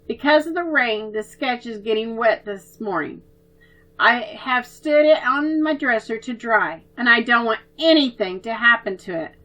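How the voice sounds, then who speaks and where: Woman storyteller voicing a stern big brother, measured and firm; a light touch with a bright tone. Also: a little high, lively intonation, faint music behind, low-quality recording. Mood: explaining. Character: young man, stern but fair older brother.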